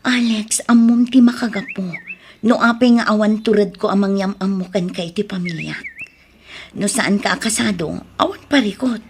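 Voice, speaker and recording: A woman's voice: mid-range voice, normal speed, a little hiss or hum, lightly acted. A woman with an Ilocano accent playing an anxious, hesitant woman in an emotional audio drama. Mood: uneasy.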